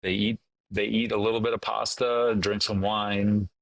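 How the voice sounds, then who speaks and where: A middle-aged man chatting casually as an interview guest, agreeable and somewhat halting. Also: on the low side, level tone, gravelly, a bit bright. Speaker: podcast guest, finance professional. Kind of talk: casual conversation.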